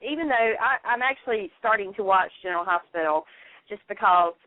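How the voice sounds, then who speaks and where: A woman's voice: mid-range voice, brisk, dry recording. A woman talking emphatically and effusively in a casual radio chat about television. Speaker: radio show panelist. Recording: dry, tinny phone line.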